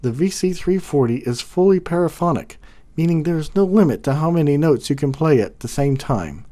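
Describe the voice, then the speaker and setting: A man's voice: a little high, lively intonation, moderate pace, mellow. A man hosting a product review, reading scripted narration with casual, folksy phrasing. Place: home studio.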